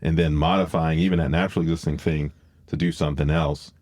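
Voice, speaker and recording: A man's voice: a bass voice, fairly even intonation, quick pace, darkish tone, no room sound, studio quality. A man hosting a podcast, explaining things in a casual discussion and thinking aloud as he goes. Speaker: podcast host.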